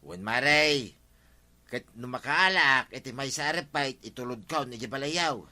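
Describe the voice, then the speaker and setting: A man's voice: medium pitch, animated, unhurried, bright, forceful tone. A man playing a grumbling village woodseller in a comedy radio drama, exclaiming and complaining with an Ilocano accent. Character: grumbling working village man who sells firewood.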